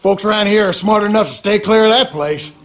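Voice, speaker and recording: An actor playing a gossipy, superstitious backwoods husband in a video game, with a rural Louisiana accent and folksy, colloquial talk. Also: male voice, high voice, fairly quick, fairly bright tone, soft background music. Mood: friendly, storytelling. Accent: rural Louisiana Southern American. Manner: folksy, drawling, colloquial storyteller. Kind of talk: animated game character dialogue.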